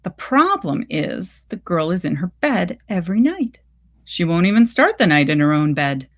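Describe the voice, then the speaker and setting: A woman reading literary fiction aloud as scripted narration, with measured, deliberate phrasing. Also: fairly low pitch, sing-song. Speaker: reader of a short story.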